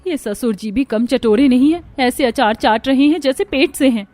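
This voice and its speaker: Woman playing an exasperated, high-voiced young daughter-in-law. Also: moderate pace, darkish tone, no room sound, lightly acted. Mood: sarcastic irritation. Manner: complaining, muttering sarcastic asides. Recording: music bed under the voice.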